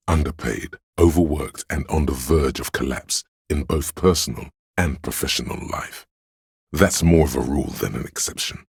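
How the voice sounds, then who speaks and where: A man voicing a brooding, omniscient narrator of weary police life in a detective game, with measured, grave, literary delivery and a gravelly, husky voice. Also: very deep voice, no echo, clean studio sound, heavily acted. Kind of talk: dramatic literary scripted narration.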